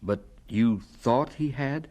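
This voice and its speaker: A man acting as a solemn, gentle American announcer and interviewer in a radio drama. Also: mid-range voice, takes it slowly. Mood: gentle probing.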